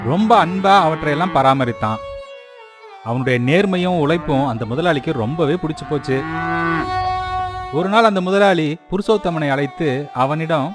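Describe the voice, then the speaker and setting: A man's voice: fairly high pitch, moderate pace, long pauses, over music. A man reading scripted narration as the storyteller of a moral tale.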